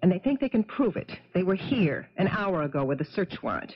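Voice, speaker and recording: An actress playing a scheming, hard-edged wife with a biting, scornful manner in a 1970s radio drama. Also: female voice, lowish voice, sing-song, a little fast, a little hiss or hum. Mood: accusing. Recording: muffled 1970s radio broadcast.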